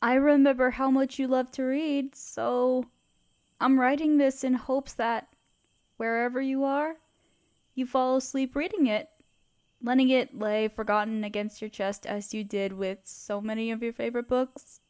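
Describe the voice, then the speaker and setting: A woman's voice: high-pitched, steady tone, measured pace, clear, resonant tone. A woman playing a tearful grieving lover. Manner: soft, hushed and tender. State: sniffling, tearful. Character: grieving young woman writing to a lost love. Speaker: audio roleplay performer. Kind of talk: intimate audio roleplay reading a letter.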